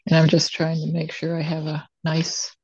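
An older woman teaching watercolor, thinking aloud as she demonstrates and pausing between phrases while she works. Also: low voice, completely flat delivery, no room sound. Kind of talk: real-time demonstration narration, thinking aloud. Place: indoors at a painting table. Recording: dull, muffled lo-fi sound.

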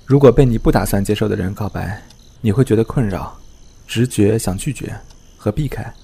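A man playing a worldly, teasing young friend in a modern audio drama, candid, with a husky voice. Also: lowish voice, normal speed, mellow.

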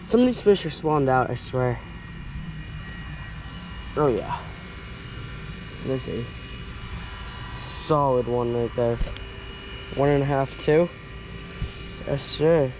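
A man's voice: mid-range voice, expressive, slow, pauses often, noisy background. A young American man talking casually and slangily to viewers in an outdoor fishing vlog. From northern United States. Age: young adult. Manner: informal, slangy, addresses viewers. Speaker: fishing vlogger, host. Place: outdoors, lawns and lakeside. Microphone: handheld or body-worn action camera.

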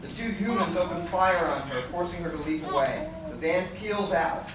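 A man's voice: a little high, normal speed. A man reading scripted stage directions as narrator of a live radio play. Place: large hotel conference room with seated audience. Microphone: distant audience camera. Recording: distant echoey room, loud crowd and noise.

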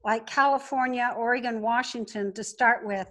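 High-voiced woman telling business stories. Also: fairly even intonation, moderate pace, fairly bright tone. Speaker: interview guest, wine company co-founder. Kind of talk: conversational business storytelling.

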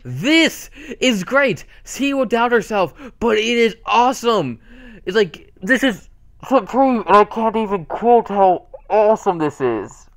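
A man's voice: high-pitched, unhurried. A young man casually talking through a screen-recorded tutorial, rambling and correcting himself as he goes. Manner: rambling, self-correcting, excitable. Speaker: channel host.

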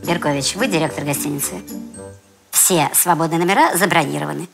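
An actress playing a prim, sarcastic Soviet-style hotel administrator in an animated comedy, pointed and bureaucratic in her delivery. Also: sits low, brisk, gravelly, over music.

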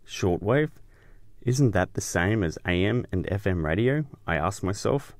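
Low-voiced man narrating a scripted explainer. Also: darkish tone, dry recording. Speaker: show host and narrator.

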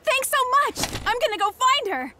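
A woman's voice: extremely high pitch, sing-song, noisy background. A woman playing an eager, bubbly young jiu-jitsu fighter in a video game, bouncy and enthusiastic in her delivery. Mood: friendly, excited. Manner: bouncy, enthusiastic, drawn-out exclamations. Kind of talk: video game cutscene acting. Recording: loud music behind.

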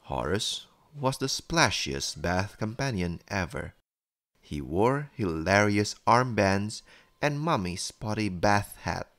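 Low, slow-paced male bedtime storyteller. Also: fairly bright tone, dry recording, studio quality. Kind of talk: scripted bedtime story narration for children.

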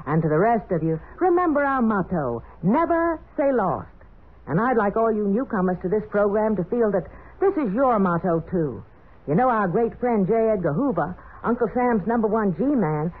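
A woman hosting a 1940s children's radio show, addressing listeners in a scripted style. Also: fairly high pitch. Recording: tinny, phone-like 1940s radio recording.

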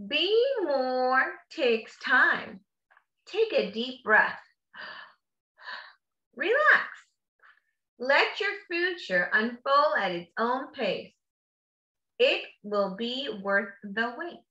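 A woman with a very bright, forward voice reads a children's book aloud at a slow, deliberate pace. She sounds like an encouraging teacher, stretching key words and adding simple asides. The room gives her voice a clear echo. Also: sits high, sing-song. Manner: slow, drawn-out emphatic reading. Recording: roomy echo, slightly dull sound.

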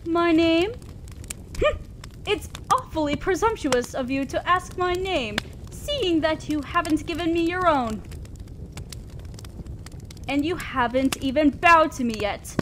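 A woman as a high-voiced, haughty demon lady. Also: lively intonation, exaggerated voice. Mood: imperious. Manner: drawn-out, condescending, playful theatrical delivery. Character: haughty, teasing female demon lord, amused and imperious. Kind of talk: roleplay audio drama acting. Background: crackling fire.

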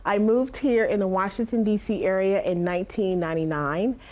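A woman in her thirties from South Carolina, a former police officer and missing persons advocate, speaking earnestly and deliberately to camera on a studio stage. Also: mid-range voice, a little mellow. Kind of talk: direct-to-camera personal talk.

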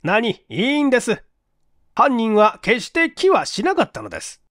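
A man voicing a courteous, earnest police detective chief explaining things in a measured, polite way in an audiobook. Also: extremely high pitch, wildly swooping pitch, fairly bright tone, no room sound, clean studio sound, full character voice. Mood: reasoning. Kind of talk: dramatic audiobook dialogue.

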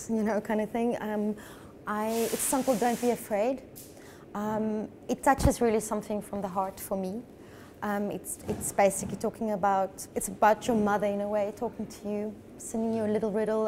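Musician guest, woman in her thirties, from South Africa; soft mellow voice, dreamy and gushing. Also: mid-range voice, a little hiss or hum. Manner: dreamy, laughs often, gushing. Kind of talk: relaxed interview chat. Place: studio lounge, indoors.

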